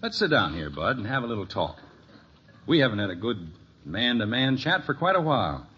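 A man's voice: medium pitch, big pitch swings, fairly quick, pushed and bright, slightly rough, exaggerated voice. An actor playing a well-meaning, easily exasperated middle-aged American father in a 1950s radio sitcom, earnest and blustering. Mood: earnest coaxing. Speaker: actor in a family situation comedy. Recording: muffled, noisy old radio recording.

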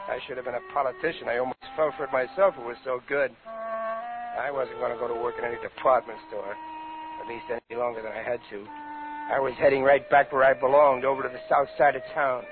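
A man's voice: mid-range voice, fairly even intonation, a little fast, music in the background, lightly acted. Man playing a cocky old-time radio ex-con, tinny sound. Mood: cynical, boastful. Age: 50s. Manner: cocky, slangy. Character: tough, wisecracking 51-year-old ex-convict crook. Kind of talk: 1950s radio drama acting and first-person narration.

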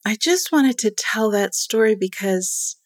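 A middle-aged woman telling a personal story in a casual chat, animated and quick to laugh, with a loud voice. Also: medium pitch, no echo, studio quality. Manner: calls herself loud-voiced.

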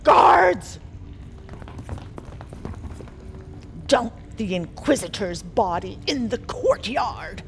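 A woman's voice: mid-range voice, slow, soft background music. A woman voicing an imperious, cruel queen who is scornful and prone to explosive outbursts. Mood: furious. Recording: steady background noise.

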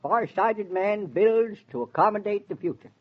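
A man's voice: sits high, expressive, normal speed. An actor playing a folksy, talkative older handyman with a rural American accent, rambling in homespun wisdom in 1940s radio drama style. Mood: teasing, sage. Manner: rustic, rambling, homespun philosophizing. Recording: dull vintage 1940s radio recording.

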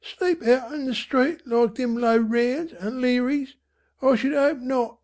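A woman's voice: on the high side, steady tone, unhurried, creaky, a little mellow, pitched above the performer's own voice. A woman voicing a doleful, listless slum mother with speech, faltering and feebly respectable, in an audiobook. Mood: indignant. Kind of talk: audiobook character voice.

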